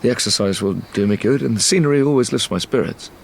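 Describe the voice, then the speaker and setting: An actor playing a tormented, guilt-ridden young British gentleman scientist in an audio drama, speaking gravely and with literary care. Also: mid-range voice, fast talker, slight background noise, natural voice. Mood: resolute. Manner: grave, brooding, literary delivery. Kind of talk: audio drama narration and dialogue.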